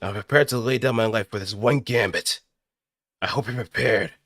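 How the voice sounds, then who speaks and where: A man's voice: on the low side, moderate pace, fairly bright tone, no room sound, clean studio sound. A man voicing a fierce, resolute anime mecha pilot, delivering melodramatic robot game battle lines in a declamatory way. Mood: grave resolve. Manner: dramatic, declamatory.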